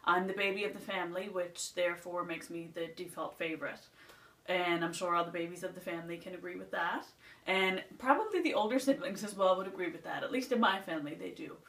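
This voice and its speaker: A woman's voice: fairly low pitch, a little fast, fairly bright tone. Chatty Nova Scotian woman, roomy sound. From Nova Scotia, Canada. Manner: rambling, chatty, reading off a list. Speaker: beauty vlogger. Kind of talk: casual chatty vlog. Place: indoors at home, seated. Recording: roomy sound from a distant mic.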